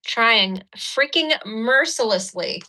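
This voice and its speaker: Bright chatty woman doing makeup on stream. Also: fairly high pitch, animated, slow, low-quality recording. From Ukraine. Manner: chatty, laughing, cursing, talks while doing makeup. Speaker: livestream host. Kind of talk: casual livestream chat. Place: indoors at a mirror. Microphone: phone.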